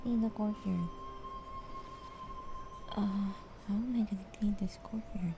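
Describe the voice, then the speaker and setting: Slow, creaky-voiced woman streamer. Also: mid-range voice, steady tone. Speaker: game streamer. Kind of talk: casual live playthrough commentary. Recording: game music clearly behind.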